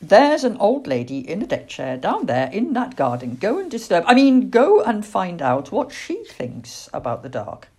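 A woman voicing a patient but weary mother barn owl in a storybook, brisk, coaxing and motherly. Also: medium pitch, huge pitch swings, a little mellow, breathy edge, pitched above the performer's own voice, full character voice.

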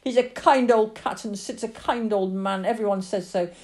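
A woman's voice: a little high, wildly swooping pitch, moderate pace, mellow, pitched above the performer's own voice. A woman voicing an earnest young farm girl in a story, pleading and emphatic. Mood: angry, defiant. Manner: pleading, emphatic, tearful when upset.

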